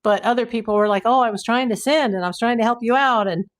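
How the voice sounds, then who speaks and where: A woman hosting a casual livestream, hoarse and losing her voice, rambling in exasperation while she reads viewers' comments. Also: fairly high pitch, fast. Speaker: YouTube livestream host.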